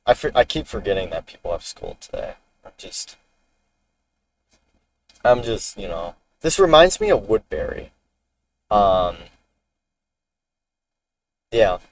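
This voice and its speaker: A man's voice: on the low side, animated, slow, long pauses. A man chatting casually to a livestream while driving a car, rambling and talking to himself about the route and traffic. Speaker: storm chaser streaming live. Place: inside a car on the road. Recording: distant in-car mic with road noise.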